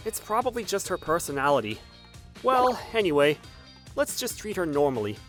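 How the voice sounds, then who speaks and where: A woman's voice: lowish voice, very animated, normal speed. A woman voicing a level-headed, sensible husband in a manga dub, conversational and reasoning, with background music. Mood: calm, reasoning. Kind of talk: manga dub character acting.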